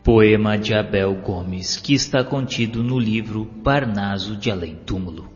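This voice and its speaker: A man's voice: lowish voice, lo-fi sound. Solemn man narrating in a long hall reverb. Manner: solemn, declamatory, with drawn-out phrasing. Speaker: audiobook narrator. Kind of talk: scripted devotional narration with poetry recitation. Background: clear music.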